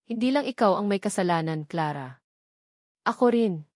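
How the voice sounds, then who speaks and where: Wounded, gentle husband, read by a woman. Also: medium pitch, lively intonation, normal speed, no echo, studio quality, close to an everyday voice. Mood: regretful.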